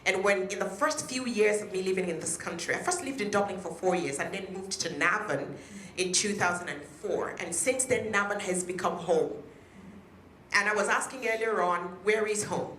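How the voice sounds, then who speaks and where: A woman's voice: mid-range voice, moderate pace, a bit bright, a little hiss or hum. Emphatic woman addressing a room. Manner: emphatic, engages the audience with questions. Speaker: speaker at a peace event. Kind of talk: motivational talk to an audience. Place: hotel conference room. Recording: echoey conference room.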